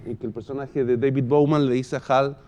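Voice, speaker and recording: A Chilean man giving a semi-improvised conference talk in a halting, thoughtful way, often pausing mid-phrase. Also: mid-range voice, steady tone, mellow. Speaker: podcast company founder giving a presentation.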